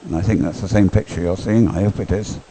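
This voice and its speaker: A man's voice: sits low, fairly even intonation, fast, mellow, a little hiss or hum. A man giving unscripted live sports commentary in a hesitant, rambling, stop-start way.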